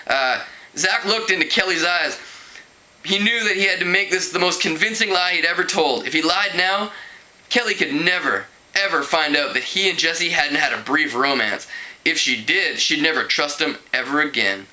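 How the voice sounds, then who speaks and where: A man narrates a storybook aloud in an expressive amateur style. His voice is fairly high and very bright, with a forceful edge and a mock-dramatic delivery. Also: normal speed, rough recording. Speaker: amateur book reader.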